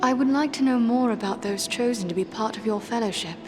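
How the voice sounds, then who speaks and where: A woman's voice: fairly high pitch, a little fast, background noise, lightly acted. A woman playing an earnest, dignified elf adventurer in a fantasy game, speaking in a formal, composed way. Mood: curious. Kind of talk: fantasy game dialogue acting.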